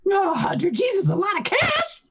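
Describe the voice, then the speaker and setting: Woman putting on a swooping, high-voiced tough gangster boss. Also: huge pitch swings, moderate pace, fairly bright tone, dull audio, exaggerated voice. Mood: tough, mocking. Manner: slangy tough-guy talk. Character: gruff menacing male gangster boss, tough talker. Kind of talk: hard-boiled radio play acting.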